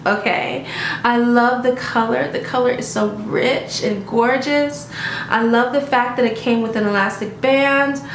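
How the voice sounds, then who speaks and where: A woman's voice: on the high side, fairly bright tone, slight background noise. Chatty woman in an echoey room. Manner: chatty and upbeat, often addressing viewers as "you guys" and asking "okay?". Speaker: beauty vlogger reviewing a wig. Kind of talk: casual product review vlog. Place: at home. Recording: roomy echo.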